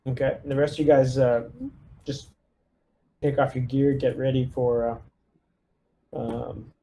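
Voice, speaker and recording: A man with a dark, relaxed voice plays squad leader in a tabletop game. He hands out orders casually and takes charge, with faint noise behind him. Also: mid-range voice, measured pace, poor audio.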